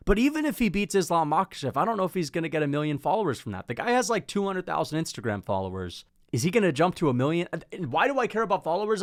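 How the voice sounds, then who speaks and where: A young man giving casual commentary on fighters in an animated, opinionated rant, swearing freely and tossing in rhetorical asides. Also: mid-range voice. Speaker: MMA commentary YouTuber.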